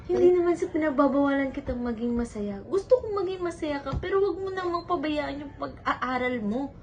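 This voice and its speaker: Woman acting a high-voiced, earnest Filipino older sister. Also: animated, a little fast. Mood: hurt, insistent. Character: hardworking, self-sacrificing older sister, protective, forgiving. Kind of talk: amateur one-act stage play acting in Filipino. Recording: dull lo-fi sound, faint noise.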